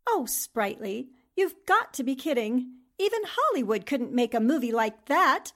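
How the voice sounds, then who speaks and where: A woman's voice: sits high, sing-song, moderate pace, a bit bright, heavily acted. A woman playing a poised, skeptical newspaper reporter in a theatrical, polished 1940s radio drama style. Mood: amused, skeptical.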